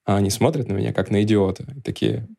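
A man co-hosting a podcast, telling an anecdote in a relaxed, chatty way. His voice sits fairly low. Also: quick pace, vocal fry. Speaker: podcast co-host. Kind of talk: casual podcast chat.

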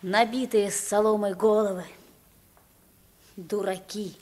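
A woman's voice: medium pitch, slow. An actress playing a naive, plain-spoken poor boy taken for a king, in an old radio drama. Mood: fed up, defiant. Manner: boyish, naive, expressive. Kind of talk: Soviet 1950s radio play acting.

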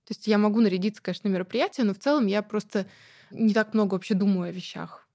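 A woman's voice: medium pitch, fast. A woman with a mid-range voice talks at length as an interview guest. She speaks chattily and freely, with frequent fillers and easy laughs. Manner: chatty and animated, with many fillers and light laughs. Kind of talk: casual conversational interview.

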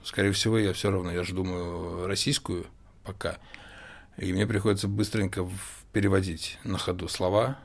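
A man co-hosting a podcast in casual chat, talking haltingly with lots of fillers and the odd mild swear word. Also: deep voice, little pitch movement, creaky. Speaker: podcast co-host.

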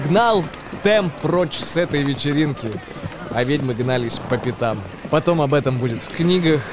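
An actor playing a wry, agitated storyteller in a radio play, reciting a ghoulish verse tale in an expressive, theatrical manner. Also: male voice, a little high, noisy background, lightly acted. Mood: urgent. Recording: music behind the voice. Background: music.

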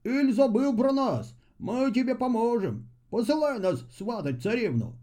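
A man's voice: very high-pitched, lively intonation, a little slow, dark tone, pitched above the performer's own voice. A man voicing a loyal, hearty fairy-tale companion with a reassuring manner. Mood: cheery, reassuring.

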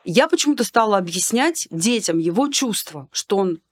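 Woman telling a lively story. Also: mid-range voice, normal speed. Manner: lively, detailed storytelling from her work with children. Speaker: podcast guest. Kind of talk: casual conversation.

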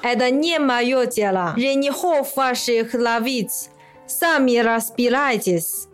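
Woman acting slow, grumbling put-upon maid. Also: on the high side, faint music behind. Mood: offended, grumbling. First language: Chinese. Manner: aggrieved, complaining. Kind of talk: radio play acting of a classic story.

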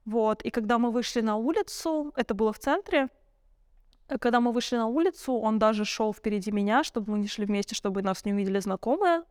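A woman's voice: fairly high pitch, fast talker, a little mellow, clean tone, no room sound, studio quality. A young woman telling personal stories in a candid, conversational way, reflective and searching for words as a podcast guest. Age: young adult.

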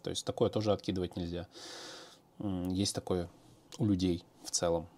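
A man's voice: low-pitched, flat delivery, normal speed, darkish tone. A man, a programmer, answering viewer questions in a casual, hesitant monologue with many fillers and restarts.

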